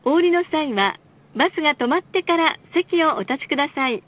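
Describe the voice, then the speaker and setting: Female bus announcer, very high voice, formal and measured, heard through a narrow lo-fi onboard speaker. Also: animated, background noise. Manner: polite, formal, measured enunciation. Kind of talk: scripted bus stop announcements and ad reads. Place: recorded announcement played inside a bus. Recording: muffled, lo-fi bus speaker recording.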